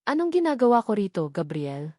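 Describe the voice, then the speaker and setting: A woman's voice: mid-range voice, sing-song, a little fast, darkish tone, no echo, studio quality. Woman acting a haughty, cutting rich matriarch. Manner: stern, cutting, emphatic. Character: haughty, angry wealthy matriarch, scornful mother.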